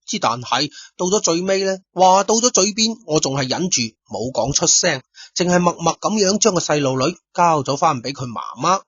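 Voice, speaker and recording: Guy narrating a detective story like he's chatting with you, husky voice, dull lo-fi dry sound. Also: fairly high pitch, normal speed, no room sound. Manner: chatty, addresses listeners directly, lively asides. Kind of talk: first-person Cantonese storytelling. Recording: dull lo-fi dry studio recording.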